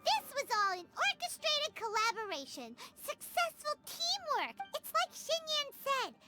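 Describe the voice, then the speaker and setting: A tiny fairy companion, squeaking away at an extremely high pitch. The delivery is cheeky, emphatic and swoops up and down like an excitable child in an anime game, with loud music behind. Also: female voice, unhurried, exaggerated voice. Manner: speaks of self in third person, emphatic. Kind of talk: anime-style video game dialogue.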